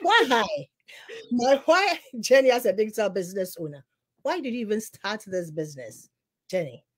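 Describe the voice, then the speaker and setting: A woman leading a casual live interview, hesitant with fillers and quick to affirm. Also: medium pitch, big pitch swings.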